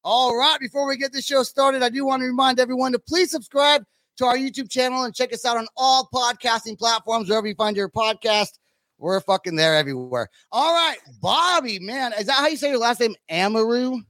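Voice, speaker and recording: A man's voice: very high-pitched, a little fast, pushed and bright, dry recording. A man from California hosting a casual rock podcast, talking in a slangy, profane, informal way. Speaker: podcast host.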